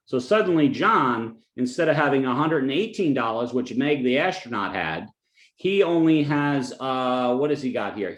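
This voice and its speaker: A man's voice: mid-range voice, reverberant. A man from New Jersey with a New Jersey accent, a financial advisor giving a client webinar presentation in a conversational way, with joking asides and frequent restarts.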